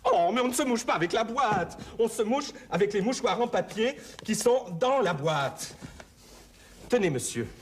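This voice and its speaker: Patient, instructive pharmacist, a man with a high voice. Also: expressive, normal speed, slight background noise. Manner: patient, instructive, mildly exasperated. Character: patient, fussy male pharmacist. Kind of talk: scripted comedy language sketch.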